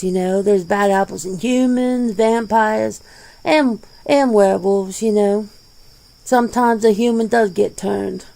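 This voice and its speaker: An easygoing, peace-minded werewolf, played by a woman, answers interview questions in an ASMR roleplay. The voice is mellow and crackles with fry, and the words come out very slowly in folksy, casual phrasing. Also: a little high. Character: laid-back, plain-spoken werewolf being interviewed, peace-minded.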